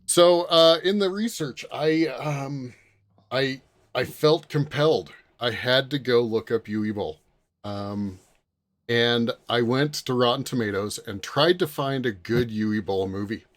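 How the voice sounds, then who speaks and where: Man casually hosting a podcast, somewhat halting. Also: mid-range voice. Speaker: podcast host leading a panel.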